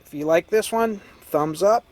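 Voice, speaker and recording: A man with a hoarse, fading voice, casually explaining an old radio repair at an electronics workbench, clearing his throat now and then. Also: high-pitched, normal speed. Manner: relaxed, talks while tinkering, frequent throat clearing. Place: cluttered electronics workbench indoors.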